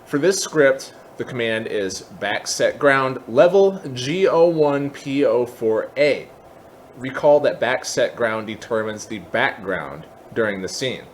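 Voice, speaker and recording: A man hosting a software tutorial, explaining step by step in a methodical way. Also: mid-range voice, lively intonation, slow pace, slight background noise. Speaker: tutorial host.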